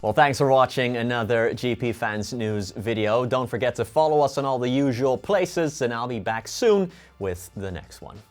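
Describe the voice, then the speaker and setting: A man's voice: medium pitch, lively intonation, normal speed, hardly any pauses. A man presenting scripted sports news as the host of an online video. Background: background music.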